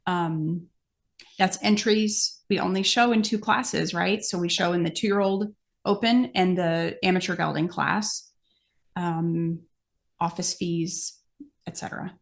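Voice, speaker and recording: Low, creaky-voiced American woman chatting. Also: steady tone, unhurried. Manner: practical, explanatory, thinking aloud. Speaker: podcast guest host, amateur horse show exhibitor. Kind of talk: casual podcast Q&A chat.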